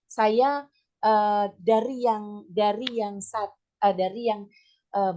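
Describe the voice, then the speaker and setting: A middle-aged woman with a slightly hoarse voice, giving an informal teachers' workshop talk in a chatty, step-by-step way sprinkled with English terms. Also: medium pitch. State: slightly hoarse, voice not back to normal. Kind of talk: informal training talk with personal examples.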